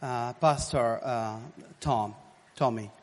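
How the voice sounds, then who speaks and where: A man gives a sermon testimony in halting English, in short phrases left for an interpreter. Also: mid-range voice. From Morocco. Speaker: guest preacher giving testimony. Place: large reverberant hall, addressing a congregation. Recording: long hall reverb.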